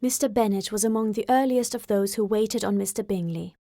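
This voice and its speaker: A woman's voice: medium pitch, fairly quick, mellow, no echo, clean studio sound. A woman reading scripted literary narration as an audiobook narrator.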